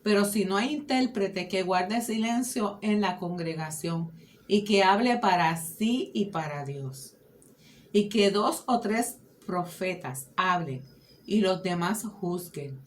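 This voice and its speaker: Una mujer que lee en voz alta un pasaje de la Biblia con lectura pausada y medida. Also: female voice, medium pitch, expressive, slight background noise. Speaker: scripture reader. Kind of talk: reading a Bible passage aloud.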